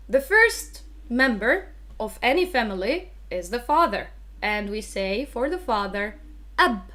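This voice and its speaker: A woman's voice: fairly high pitch, a bit bright. A woman with a Levantine Arabic accent, a native Arabic speaker, teaching a live online language lesson in an explanatory, emphatic way.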